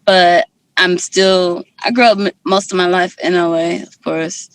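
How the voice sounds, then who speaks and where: A woman's voice: fairly low pitch, flat delivery, unhurried, smooth. A young woman from Guyana, guest musician in a casual interview, talking candidly with lots of fillers.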